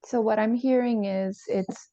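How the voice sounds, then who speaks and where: A woman playing a calm, probing therapist in a role-play, measured and tentative as she reflects back. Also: fairly high pitch, no echo, lo-fi sound, close to an everyday voice. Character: calm, probing female therapist leading a session.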